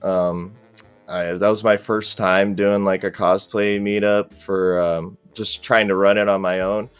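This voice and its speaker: A man's voice: sits low, level tone, unhurried, smooth, no room sound, faint music behind. A man speaking as a podcast guest in casual, easygoing, appreciative conversation. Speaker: podcast guest, cosplay group organizer. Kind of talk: casual interview chat.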